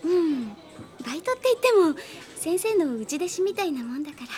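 Anxious soft-spoken young woman, radio drama. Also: very high voice, big pitch swings, brisk, faint music behind. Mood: friendly. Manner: soft, hesitant.

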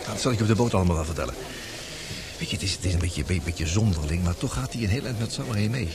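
A man's voice: low voice, racing. A man acting in a Dutch radio play as an affable, chatty second-in-command, with an informal and sociable manner. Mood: confiding. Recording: radio play with clear crowd murmur.

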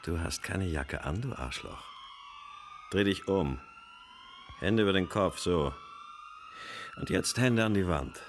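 Deep, gruff arresting cop. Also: male voice. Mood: hostile command. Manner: commanding, coarse, deliberate. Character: gruff, hostile male cop making an arrest. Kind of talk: German radio crime drama acting. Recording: clear background street noise, police siren.

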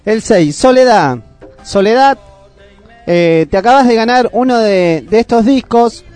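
A high-voiced Argentine man presents on community radio. He speaks casually, as a co-host would, with faint music running behind him. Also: animated, unhurried, a little mellow, smooth.

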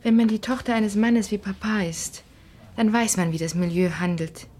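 An actress playing an anxious, earnest married daughter of a criminal, confiding and breathy, in a German radio crime drama. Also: female voice, medium pitch, moderate pace, darkish tone, natural voice.

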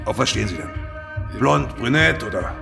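An actor playing a sleazy, menacing brothel boss in a German radio crime drama, chummy and insinuating. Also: male voice, medium pitch, lively intonation, normal speed, vocal fry, over loud music. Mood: oily, pushy. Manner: chummy, insinuating, with tag questions.

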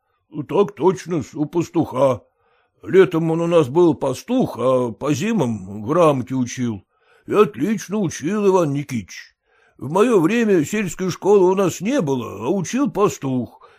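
A man's voice: a little high, very animated, measured pace, a little mellow, husky, pitched above the performer's own voice, heavily acted. A man voicing a humble, plain-spoken male shop clerk in a story reading. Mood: earnest.

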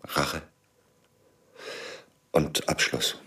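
An actor playing a grim, driven middle-aged former intelligence operative in a radio drama, sombre, deliberate and terse. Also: male voice, sharp tone. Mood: grave, resolute. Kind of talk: radio drama acting and first-person narration. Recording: studio radio play with music underneath.

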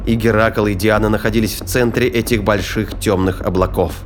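A man's voice: lowish voice, fairly even intonation, fairly quick, background noise. A man narrating a science fiction audio drama in a scripted storytelling style. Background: music.

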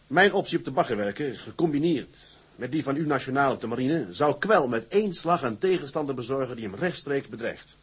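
Husky, determined blunt seaman. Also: medium pitch, lively intonation, fairly quick. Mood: urgent, persuasive. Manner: direct, persuasive, insistent. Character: determined, blunt male seaman turned tugboat entrepreneur.

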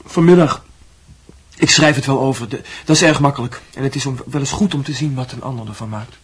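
Young male student for radio play, played by a man: very husky mid-range voice, dutiful, halting, evasive with his elders. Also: mid-range voice, lightly acted. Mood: casual, defensive. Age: young adult. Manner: halting, hesitant delivery. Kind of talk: radio drama acting.

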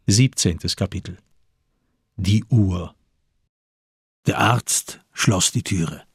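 A male narrator of a radio crime play with an extremely husky, lowish voice. He reads slowly and deliberately, with dramatic weight. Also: dry recording, clean studio sound.

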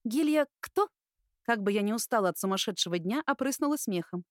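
A woman's voice: mid-range voice, animated, quick pace, dry recording, studio quality. A woman reading scripted first-person fiction as an audiobook narrator.

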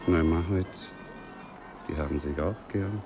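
An actor playing a cocky, lusty young soldier in a radio play, speaking offhand Viennese dialect. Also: male voice, low voice, normal speed, lightly acted. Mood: persuasive. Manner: colloquial, offhand dialect speech. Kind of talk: 1960s German radio play acting. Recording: 1960s radio drama with music behind.

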